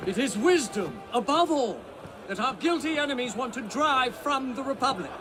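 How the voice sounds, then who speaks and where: A man's voice: very high voice, lively intonation, normal speed, over music. An actor playing a fervent, grandiose male revolutionary leader, declaiming pompously in a video game cutscene speech. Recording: noisy outdoor rally with crowd.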